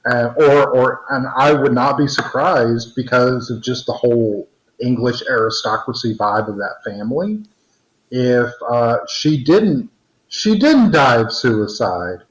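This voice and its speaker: A man's voice: medium pitch, lively intonation, measured pace. A man co-hosting a casual podcast discussion, rambling with frequent restarts and fillers. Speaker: podcast co-host. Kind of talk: casual discussion over a call. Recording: roomy, dull lo-fi call audio.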